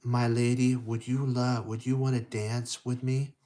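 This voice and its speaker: Man as storybook prince, young, courtly, gallant, pleading, slow delivery. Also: mid-range voice, little pitch movement, dark tone, lightly acted. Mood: smitten. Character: weary young prince, suddenly smitten and courtly.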